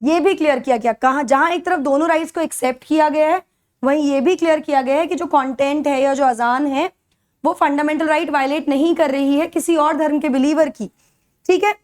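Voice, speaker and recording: A woman's voice: high voice, steady tone, brisk, a little mellow. A woman with an Indian accent giving an explanatory lecture as a teacher, in an instructional way, mixing in English and checking that listeners follow. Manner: instructional, checks understanding with short tag questions. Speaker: educator for civil service exam courses.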